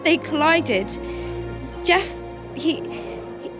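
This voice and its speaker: A woman playing a grieving mother searching for her missing husband in a radio drama, with a halting, emotional delivery. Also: high-pitched, lively intonation, heavily acted. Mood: tearful, distraught. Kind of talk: radio drama acting and first-person narration. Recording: music under the voice.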